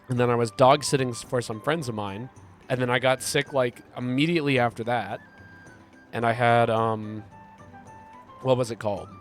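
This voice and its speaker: A man streaming a game, chatting with his viewers and answering their messages. He is sick and congested, and his voice is hoarse, gravelly and deep. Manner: rambling, reads chat aloud and answers it. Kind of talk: casual live-stream chat while gaming.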